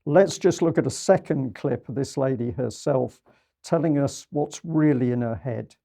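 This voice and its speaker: A man's voice: medium pitch, moderate pace, creaky, mellow. A man presenting an online news show, giving pointed, critical commentary on news clips.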